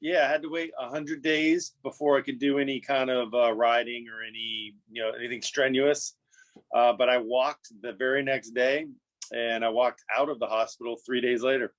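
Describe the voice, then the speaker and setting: A man with a mid-range voice recounts events in a calm, matter-of-fact tone. He speaks as a guest on a casual podcast. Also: medium pitch, normal speed, low-quality recording. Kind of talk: casual conversation.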